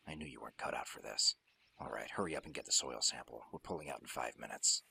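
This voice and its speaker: A man playing a gruff, hardened covert soldier in a radio drama, terse, controlled and pragmatic, with a very deep, gravelly voice. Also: fast talker, sharp tone, slight background noise, full character voice. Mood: tense, commanding. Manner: terse, hard-edged, controlled.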